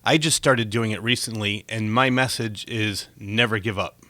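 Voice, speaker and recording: A man's voice: on the low side, fairly even intonation, normal speed, sharp tone, dry recording. A man hosting a conversational interview, speaking to his guest in an encouraging, complimentary way. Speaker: talk show host interviewing a guest.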